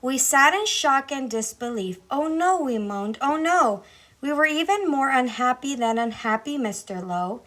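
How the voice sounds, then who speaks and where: A woman's voice: sits high, lively intonation, unhurried, fairly bright tone. A woman reading a children's story aloud. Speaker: school staff member reading aloud.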